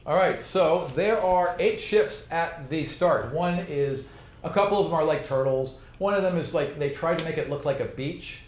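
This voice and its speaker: A man's voice: a little high, moderate pace. Roomy-sounding man running a tabletop game. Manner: conversational, explanatory. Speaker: game master. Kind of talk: narrating a tabletop fantasy game, improvised. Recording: roomy echo, faint background noise.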